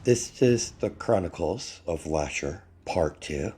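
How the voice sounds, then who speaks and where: A man hosting a channel, reading a fan story aloud in a plain, unhurried way, his voice not fully recovered. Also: low voice, lively intonation, warm tone, husky. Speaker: fanfiction narrator, channel host.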